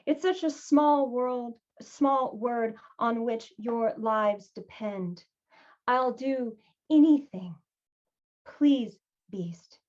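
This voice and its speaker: Very slow, high-voiced woman reading poetry. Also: muffled recording. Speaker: poet.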